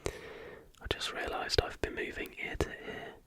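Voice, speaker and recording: Male ASMR host, unvoiced breathy whisper, hushed and deliberate, close mic, scripted factual reading. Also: no room sound. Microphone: close recording microphone.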